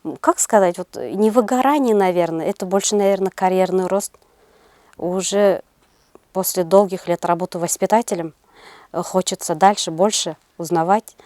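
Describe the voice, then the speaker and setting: Female interview guest, middle-aged educator, lowish voice, halting and considered, very dry sound. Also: warm tone, dry recording. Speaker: interview guest, senior kindergarten teacher. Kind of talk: interview answers.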